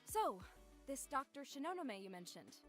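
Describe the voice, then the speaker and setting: Woman acting as serious young woman, protective friend, blunt, slightly high, visual novel, faint music. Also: big pitch swings, moderate pace. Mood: insistent, wary. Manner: blunt, insistent.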